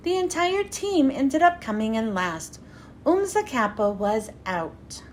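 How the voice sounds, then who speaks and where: A woman reading a children's storybook aloud as a read-aloud host, with expressive, clearly phrased storytelling. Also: a little high, slow, a little hiss or hum.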